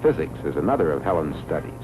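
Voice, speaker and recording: Low-voiced 1950s film narrator, a man. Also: big pitch swings, rough recording. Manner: measured, formal delivery. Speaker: documentary narrator. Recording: vintage 1950s film soundtrack with music.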